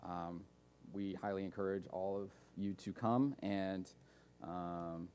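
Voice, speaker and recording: A man's voice: deep voice, slow pace, a little hiss or hum. A man speaking at a developer conference, talking casually about speech recognition and taking audience questions, with many fillers and asides. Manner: relaxed, lots of fillers and asides. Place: conference room.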